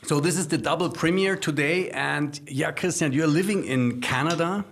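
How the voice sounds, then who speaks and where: A man's voice: fairly high pitch, normal speed, fairly bright tone, a little hiss or hum. A man from Germany hosting a podcast in English with a German accent. He is hesitant and uses many ums and restarts. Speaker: podcast host. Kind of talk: casual conversational interview.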